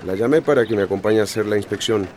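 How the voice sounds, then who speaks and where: A man playing a worried, quick-tempered middle-aged son and husband with a Costa Rican accent, exasperated and emphatic, in a radio drama. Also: lowish voice, a little mellow, slight background noise, close to an everyday voice.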